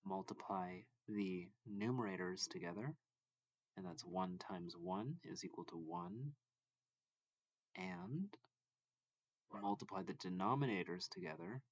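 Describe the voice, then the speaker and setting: A man reading a math textbook lesson aloud as a tutor, deliberately and in short phrases. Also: low-pitched, lively intonation, creaky, no room sound, muffled recording.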